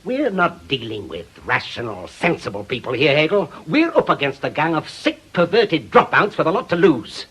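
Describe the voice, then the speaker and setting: An obsessive middle-aged British ex-copper out for revenge. His husky voice sits fairly high and swings widely as he hectors. It is radio drama delivery, sardonic and forceful. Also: big pitch swings, moderate pace, few pauses, exaggerated voice. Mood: insistent, angry. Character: bitter, obsessive widowed ex-policeman bent on revenge.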